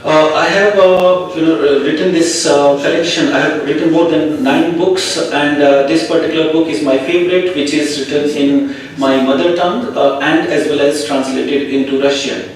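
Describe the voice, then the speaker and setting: A man's voice: a little high, steady tone, moderate pace, few pauses, a little hiss or hum. A man interpreting consecutively at a formal meet, hesitant and full of fillers. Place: conference hall. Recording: echoey hall, far mic.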